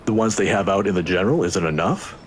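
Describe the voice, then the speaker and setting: Woman acting as warm old male academic, visual novel character, low pitch, very animated delivery, avuncular and courteous. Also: quick pace, a little hiss or hum. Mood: curious, genial. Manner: avuncular, courteous, gently teasing. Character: kindly old male professor, warm and inquisitive.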